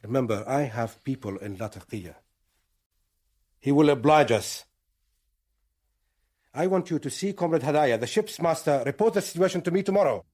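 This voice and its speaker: An actor playing a smugly menacing militant leader in a radio drama, authoritative, probing and condescending. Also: male voice, mid-range voice, lively intonation, normal speed, long pauses, a little mellow, natural voice. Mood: peremptory.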